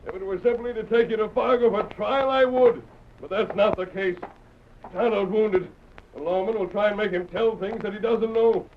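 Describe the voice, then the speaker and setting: A man's voice: high voice, steady tone, normal speed. An actor playing a principled, heroic masked man in an old-time radio western, speaking formally and firmly with an American accent. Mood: principled, resolute. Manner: formal, firm, commanding. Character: heroic masked lawful avenger, man, calm, firm, principled. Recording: muffled old radio recording, audible noise.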